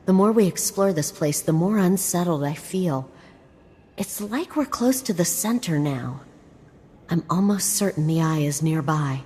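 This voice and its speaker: A woman's voice: low-pitched, a little mellow. An actress playing a curious, bookish, uneasy woman explorer in a video game, with a wry, chatty manner. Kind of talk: video game character dialogue. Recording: long echoing reverb.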